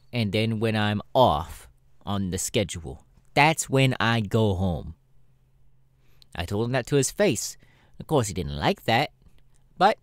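A man voicing a sassy, cynical male demon adult performer in a casual, drawling audio roleplay, often pausing mid-thought. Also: medium pitch, dry recording, studio quality. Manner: hesitant, drawling, animated, irreverent.

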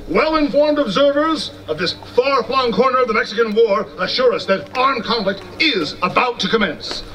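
A man's voice: sits high, a bit bright, heavily acted. A man playing an old-time TV news anchorman, formal and declamatory, reporting outdoors at a historical reenactment. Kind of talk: theatrical news reporting, reading from a script. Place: outdoor amphitheater in a park. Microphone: stand microphones, far camera. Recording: outdoor PA from far off, noisy.

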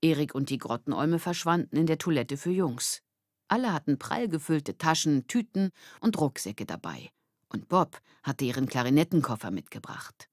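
Low-voiced woman narrating a children's story. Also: darkish tone, no echo, clean studio sound. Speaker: audiobook narrator.

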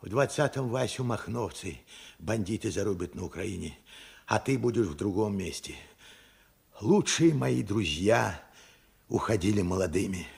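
A weary old veteran pleads with regret and urgency. His voice is very husky and gravelly, halting, and it swings widely in pitch. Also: expressive, a little mellow, full character voice. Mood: regretful. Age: older. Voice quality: hoarse, husky, cracked. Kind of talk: Soviet radio play acting.

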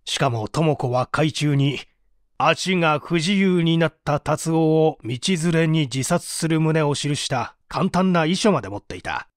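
A man plays a stern male prosecutor in a mystery audiobook. He is formal and methodical, probing with measured authority, recorded dry and close. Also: fairly high pitch, no echo. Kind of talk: dramatic audiobook reading.

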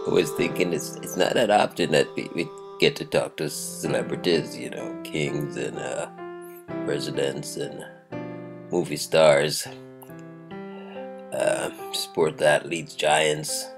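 A man with a croaky voice giving a daily devotional, chuckling often and reading scripture aloud with emphasis. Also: rumbling low, steady tone, takes it slowly, music in the background. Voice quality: gravelly. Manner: chuckles often between phrases. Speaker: devotional host.

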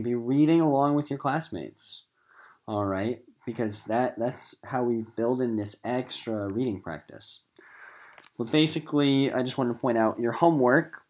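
A man speaking as a middle school literature teacher, giving an informal recorded lesson in a casual, conversational way straight to his students. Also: medium pitch. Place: voice-over on a screen recording of slides.

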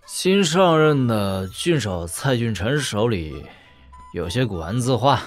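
A man playing a sly, understated young lord in a Chinese martial arts audio drama, with drawn-out, unhurried phrasing. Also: medium pitch, very animated, soft background music.

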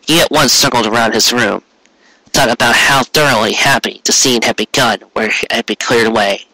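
A man's voice: medium pitch, normal speed, sharp tone, no room sound, poor audio. A man narrating a story as a dramatic reading, intense in manner, now and then stumbling over words. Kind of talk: dramatic reading of fan fiction.

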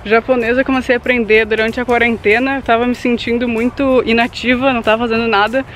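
A woman of about eighteen, chatting casually outdoors in a grassy field and pausing to think as she talks. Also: a little high, a little hiss or hum. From Brazil. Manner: relaxed, thinks aloud with pauses. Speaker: vlog co-host. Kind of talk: casual chat. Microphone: camera mic at arm's length.

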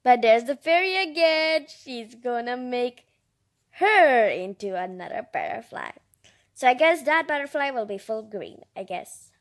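A woman's voice: a little high, very animated, measured pace. A female reader reading a picture book aloud with chatty asides, in a childlike, enthusiastic, exclaiming manner. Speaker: storybook reader and host.

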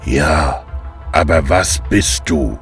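Guy doing a downcast god in a radio play, super deep gravelly slow voice. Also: faint music behind, heavily acted. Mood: downcast, doubtful. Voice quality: gravelly, very low. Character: grieving male god, downcast and doubtful. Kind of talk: audio drama acting.

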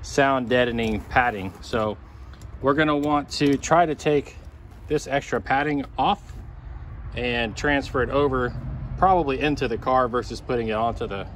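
An American man in middle age talks through a hands-on repair job in a casual, plain-spoken way. His voice sits at an average pitch. He is recorded outdoors on a camera mic, with noticeable ambient noise around him. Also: normal speed, fairly bright tone. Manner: plain-spoken, step-by-step explaining. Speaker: DIY car repair host. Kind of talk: casual hands-on tutorial narration. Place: outdoors on a patio and driveway, and at a car.

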